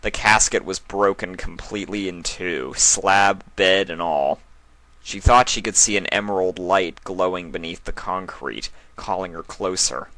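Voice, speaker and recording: A man's voice: deep voice, a little slow, pushed and bright, dry recording, poor audio. A man reading a fantasy story aloud as its narrator, in a dramatic scripted style. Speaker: fan fiction narrator.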